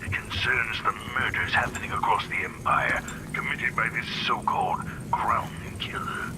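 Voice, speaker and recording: A man's voice: mid-range voice, moderate pace, background noise, lightly acted. An older man playing a grave, loyal old inventor speaking formally in a video game. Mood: urgent, grave. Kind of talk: dramatic game acting, letter-like monologue.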